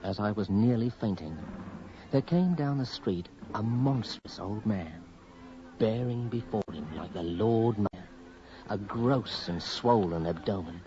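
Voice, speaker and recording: An actor playing the narrator of a radio horror drama, telling his eerie story in a measured, ominous way. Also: lowish voice, close to an everyday voice. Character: man narrator recalling a sinister, eerie encounter. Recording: muffled, noisy old radio broadcast recording.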